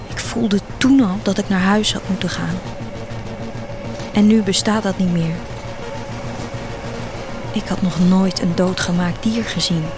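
Woman narrating, music behind. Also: medium pitch. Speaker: narrator of an audio drama story. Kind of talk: literary first-person scripted narration.

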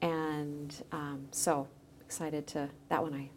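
A woman's voice: low voice, level tone, slow pace, darkish tone, slight background noise. A woman speaking as a guest in a conversational podcast interview, phrasing things hesitantly and letting thoughts trail. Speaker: guest, editor and audio creator.

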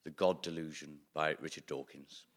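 A man playing a self-styled evil genius who now rules the world, deadpan and rambling, with stammered restarts and chuckles, before a live theatre audience. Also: extremely low pitch, moderate pace, pitched below the performer's own voice. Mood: deadpan, smug. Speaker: comedian playing a new supreme commander of the world. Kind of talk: improvised comic interview in character.

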